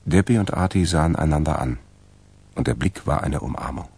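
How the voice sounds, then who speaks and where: A man narrating a radio crime drama from a script in a gravelly voice. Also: rumbling low, fairly quick, darkish tone. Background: music.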